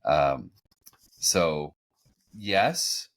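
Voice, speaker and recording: A man hosting a podcast, recapping in a casual, halting, conversational way. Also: rumbling low, animated. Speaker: podcast host. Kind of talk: casual recap commentary on a cartoon. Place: home room, on a group video call.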